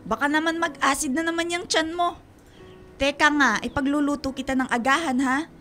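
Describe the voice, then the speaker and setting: Casting: doting, nagging Filipino mom in her middle years, high-pitched, radio drama with music underneath. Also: brisk. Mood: tender, fussing. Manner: fussing, nagging, expressive. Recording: music behind the voice.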